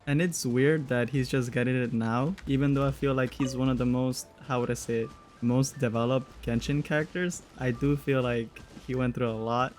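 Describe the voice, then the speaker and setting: A young male streamer talks casually over a video game in a mid-pitched voice. He is slangy and jokey and swears freely, with faint game music behind him. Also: fairly even intonation, moderate pace, hardly any pauses, darkish tone. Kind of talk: casual reaction commentary on a game.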